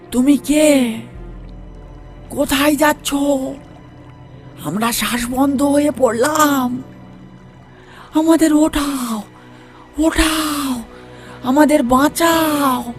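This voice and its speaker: A man voicing anguished drowned ghosts in a horror audio story, pleading for rescue in drawn-out wailing calls. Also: extremely high pitch, faint music behind, pitched above the performer's own voice, exaggerated voice.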